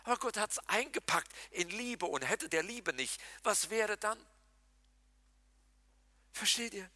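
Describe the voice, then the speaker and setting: A pastor preaching a lively sermon, talking straight to his congregation and mixing in jokes. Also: high voice, measured pace, no echo. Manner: animated, talks to the congregation directly, jokes and laughs.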